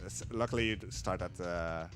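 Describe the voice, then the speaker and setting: A man's voice: low voice, moderate pace, over music. A man with a Dutch accent giving casual live commentary on his speedrun, explaining as he plays.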